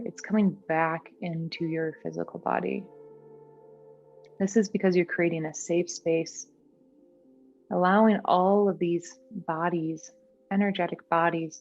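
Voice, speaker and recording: A woman guiding a meditation in a gentle, soothing, unhurried manner. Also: fairly low pitch, gravelly, highs cut off. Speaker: meditation guide. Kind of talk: guided meditation. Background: background music.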